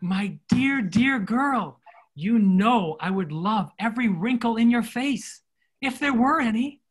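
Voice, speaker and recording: A man's voice: very high voice, expressive, slow, slightly rough, exaggerated voice. A middle-aged man playing a devoted, romantic army captain in a stage comedy, earnest and theatrical. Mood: adoring. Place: indoor room, recorded over video call.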